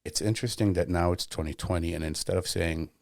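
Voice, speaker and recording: Podcast host guy, really deep voice, thinks out loud, dry close mic. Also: fast talker, creaky, no room sound. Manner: thoughtful, searching for words, restarts. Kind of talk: casual conversation.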